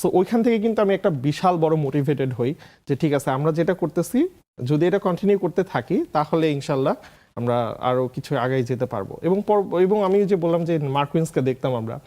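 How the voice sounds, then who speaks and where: A man's voice: a little high, expressive, a little fast, warm tone. A man with a Bangladeshi accent talking casually as an interview guest, often switching into English phrases. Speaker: interview guest, food vlogger.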